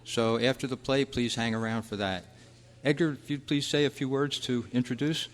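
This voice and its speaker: A man's voice: mid-range voice, fairly even intonation, moderate pace. A man hosting a club meeting, making announcements to the room through heavy reverb. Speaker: club host, master of ceremonies. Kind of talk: event announcements. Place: large meeting room at a convention. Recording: echoey hall, long reverb.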